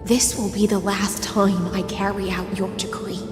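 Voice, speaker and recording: An actress playing a weary, sorrowful and proud siren warrior in a video game, speaking solemnly and dramatically. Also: female voice, mid-range voice, little pitch movement, moderate pace, close to an everyday voice. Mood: solemn resolve. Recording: echoey hall reverb under music.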